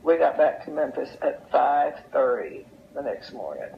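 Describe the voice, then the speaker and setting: An older woman tells anecdotes in an unhurried, folksy way, repeating phrases for emphasis as an interview guest. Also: rumbling low, sing-song, vocal fry, slight background noise. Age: older, over 70. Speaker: interview guest sharing memories. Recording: dull, lo-fi sound.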